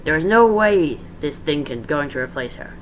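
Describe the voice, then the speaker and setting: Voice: mid-range voice, animated, moderate pace, slight background noise, close to an everyday voice, poor audio. A performer playing an indignant, loyal male animatronic fox, protesting emphatically in an amateur story reading.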